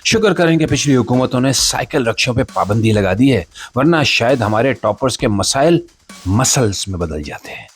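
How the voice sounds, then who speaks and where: A man's voice: medium pitch, sing-song, moderate pace, slightly rough. A man with a Pakistani accent hosting a satirical news show, speaking to the audience in a sarcastic, theatrical monologue.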